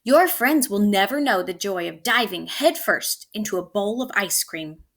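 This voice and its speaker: A gentle storybook mom spoon, as a woman reader puts her on. She speaks slowly in a bright voice, soothing and agreeing with her child. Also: fairly high pitch, full character voice. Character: gentle, reassuring mother spoon. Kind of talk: children's storybook read-aloud.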